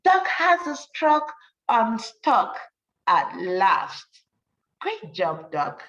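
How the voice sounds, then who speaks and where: A woman teacher reading a rhyming story for children, with an encouraging manner and deliberate, emphatic delivery. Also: fairly high pitch, sing-song, fairly bright tone, distant mic, lo-fi sound. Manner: encouraging, praising, addressing children directly.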